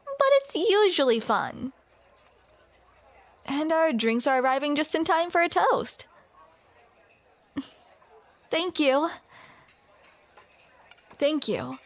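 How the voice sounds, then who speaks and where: A woman's voice: high voice, sing-song, slow, pauses often, no echo. A woman performing a scripted romantic audio roleplay, with playful, teasing banter, close to the microphone.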